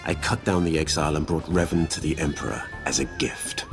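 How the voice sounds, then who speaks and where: A very low-pitched, ancient Sith lord from a video game, proud and grim. He speaks formally and solemnly, with cold disdain, over background music. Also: brisk. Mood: solemn recounting. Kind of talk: dramatic video game voice acting.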